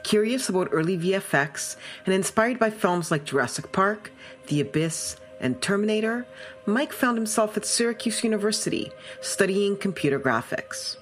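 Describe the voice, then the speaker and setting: A woman's voice: mid-range voice, animated, moderate pace, faint music behind. A woman hosting a podcast, reading a scripted introduction and asking curious interview questions. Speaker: podcast host.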